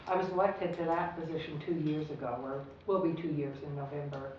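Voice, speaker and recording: A woman from Washington State introducing herself as a candidate on a panel, halting at times with small laughs. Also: low-pitched, fairly even intonation, darkish tone, a little hiss or hum. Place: public meeting room. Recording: echoey room from far off, dull.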